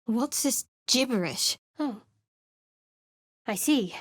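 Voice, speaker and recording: A woman's voice: on the high side, lively intonation, slow, no room sound. An actress voicing a guarded, sardonic young female cleric in a video game, recorded close in a studio booth. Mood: dry. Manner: dry, cool and sardonic. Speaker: game character voice actor. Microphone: close studio microphone.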